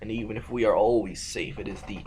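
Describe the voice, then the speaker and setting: A man's voice: fairly low pitch, quick pace, warm tone, slight background noise, natural voice. A man playing a severe, foreboding noble ruler in an audio drama, speaking formally and pompously. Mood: stern, foreboding.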